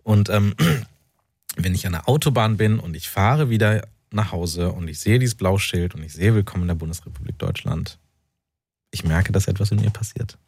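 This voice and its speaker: A man's voice: fairly low pitch, normal speed, darkish tone, breathy edge, no room sound, studio quality. A man from North Rhine-Westphalia, an author speaking reflectively as a radio interview guest, often searching for words. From North Rhine-Westphalia, Germany. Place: radio studio.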